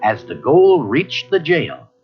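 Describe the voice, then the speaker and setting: Animated male storybook narrator. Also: a little high, slow pace. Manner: dramatic, expressive storytelling. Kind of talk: scripted children's read-along narration. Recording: muffled old cassette, music behind.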